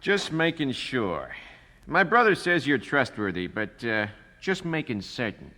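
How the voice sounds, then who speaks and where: Menacing crook boss, ringing reverb. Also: male voice, mid-range voice, very animated, pushed and bright, full character voice. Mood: smug menace. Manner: deliberate, smugly threatening. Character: menacing, suspicious criminal boss giving orders. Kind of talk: 1970s radio drama acting. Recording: reverberant 1970s radio drama recording.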